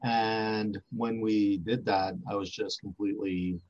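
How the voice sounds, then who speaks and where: A man's voice: on the low side, fairly even intonation, a little slow, darkish tone. A man in his forties whose first language is French, talking as an interview guest with many fillers and restarts. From Montreal, Canada. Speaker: archaeology professor, interview guest. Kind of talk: casual interview talk. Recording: dull lo-fi sound.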